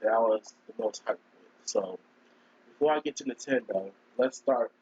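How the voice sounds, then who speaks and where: A man with a hoarse voice from a cold, husky and rough at the edges. He rambles through a casual live-stream chat and keeps stopping to clear his throat. The sound is dull and lo-fi. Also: medium pitch, normal speed, a little mellow. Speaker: gaming streamer.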